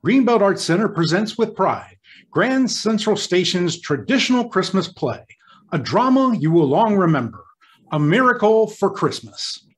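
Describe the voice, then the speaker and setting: A man's voice: sits high, sing-song, moderate pace. A man narrating an old-time radio drama in a grand, theatrical, scripted manner.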